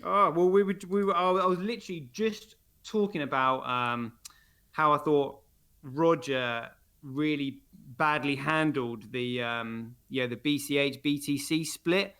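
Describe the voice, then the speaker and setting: A man's voice: a little high, measured pace, bright, forceful tone, clean tone. A man co-hosting a livestream, rambling with many fillers and restarts in casual chat. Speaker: livestream co-host.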